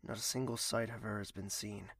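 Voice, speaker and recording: A man's voice: fairly low pitch, steady tone, fairly quick, a bit bright, a little hiss or hum. A man playing an irritable, suspicious prince in an audio drama, speaking in a terse, brooding way. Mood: irritated, brooding.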